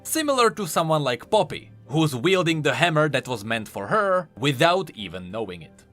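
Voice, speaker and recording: Guy doing game lore commentary, bright forceful voice, super animated and emphatic, bit of music behind. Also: on the high side, normal speed. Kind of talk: explanatory commentary voice-over. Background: faint music.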